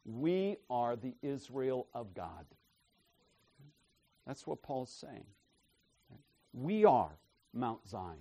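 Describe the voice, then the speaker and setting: A man preaching an expository sermon, emphatic and tagging his points with "right?", his loudness swinging from soft asides to forceful declarations. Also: medium pitch, very animated, extremely slow, pauses often, husky. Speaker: pastor.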